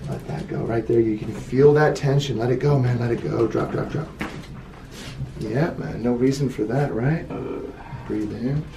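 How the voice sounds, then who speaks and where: A man's voice: mid-range voice, moderate pace, gravelly. A man working as a chiropractor, coaching patients in short, repeated instructions with approving remarks. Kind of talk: calm hands-on coaching of patients during adjustments. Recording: roomy, distant mic, loud background noise.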